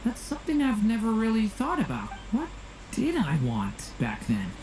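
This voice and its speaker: A woman voicing a gentle young dragon boy in a video game, soft and boyish, hesitant and reflective. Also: fairly high pitch, huge pitch swings, moderate pace. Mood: pensive. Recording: roomy game audio, faint noise.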